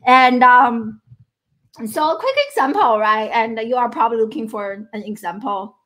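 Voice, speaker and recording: A middle-aged woman from China speaks with a Chinese accent and a high voice. She gives a motivational coaching talk in an earnest way, restarting phrases and checking in with her listeners. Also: normal speed, a bit bright, poor audio. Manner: earnest, many restarts and "right?" tags.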